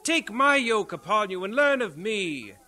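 An actor playing an earnest, halting young English missionary in an audio drama, lovestruck and fervent. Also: male voice, sits high, sing-song, sharp tone, full character voice. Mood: preaching. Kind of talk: dramatised radio-play acting.

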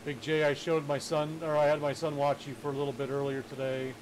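A man's voice: on the high side, level tone, fairly quick. A man in his thirties chatting casually and slangily as he streams a flight simulator game from a home room. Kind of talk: casual livestream chat while playing a flight simulator. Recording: engine-like game noise behind voice.

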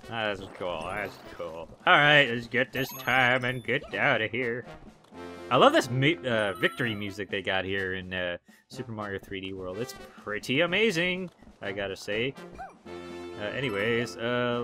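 A hoarse young man giving goofy, excitable commentary over his gameplay, full of ums and likes. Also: mid-range voice. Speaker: let's play gamer. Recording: game music behind voice.

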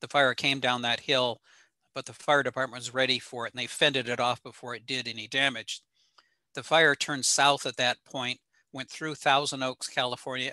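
An older American man giving an informal slide lecture in an explanatory, halting way with frequent pauses. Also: medium pitch, pushed and bright, no room sound. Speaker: presenter, ham radio operator. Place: small home room indoors.

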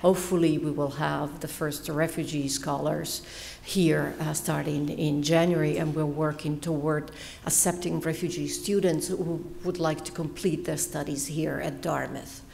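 A woman's voice: low voice, steady tone, normal speed, vocal fry, darkish tone, slight background noise. A middle-aged woman giving a talk at a lecture hall podium, hesitant, with many fillers and restarts and emphasis on key words. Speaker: panel speaker, faculty member. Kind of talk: academic panel talk with slides. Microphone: podium microphone.

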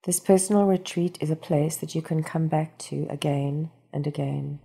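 A woman narrates a guided meditation with a mellow, dark tone. Her voice is breathy and husky, with a noticeable creak. She gives instructions calmly and soothingly, never hurried. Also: sits low. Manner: calm, soothing, unhurried instruction.

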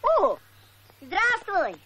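A woman's voice: huge pitch swings, extremely slow, pushed and bright, slight background noise, exaggerated voice. A woman voicing a stubborn, cheeky little boy donkey in a children's cartoon, with a childlike, defiant delivery. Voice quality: high childlike voice. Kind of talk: animated children's cartoon acting.

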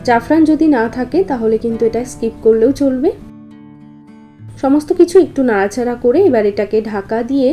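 Higher-pitched woman narrating a recipe. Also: mellow. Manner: instructional, explanatory. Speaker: cooking show host. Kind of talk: step-by-step recipe voice-over narration. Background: guitar background music.

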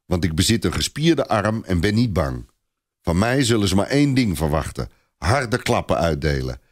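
Guy doing a blustering old-campaigner knight for an audio story, gruff and angry, proud. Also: on the low side. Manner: gruff, indignant, emphatic. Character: furious blustering Breton knight, proud veteran commander.

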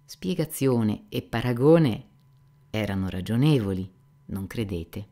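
A man reading an audiobook, voicing a boastful aging buffoon who rambles loudly and theatrically about himself. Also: fairly low pitch, lively intonation, takes it slowly. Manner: loud, theatrical, rambling storytelling. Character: boastful aging male buffoon, self-mocking and garrulous.

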